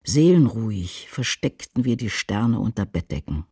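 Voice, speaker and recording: A man reciting poetry for a radio drama in a grave, measured, declamatory way. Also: fairly low pitch, very animated, darkish tone, breathy edge, dry recording, clean studio sound, full character voice. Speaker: reader in a radio adaptation of a poem cycle. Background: music.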